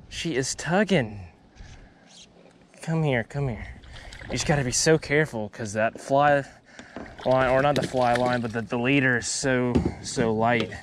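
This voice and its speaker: A young man chatting to viewers while fishing from a small boat on a pond, excitable and laughing often. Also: mid-range voice, a little hiss or hum. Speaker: fishing vlogger. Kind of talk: casual running commentary while fishing. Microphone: head-mounted action camera.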